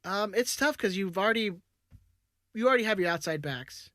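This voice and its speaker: A man's voice: high-pitched, animated, brisk, pushed and bright, dry recording. A man co-hosting a casual sports podcast, talking hesitantly with lots of fillers. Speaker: podcast co-host.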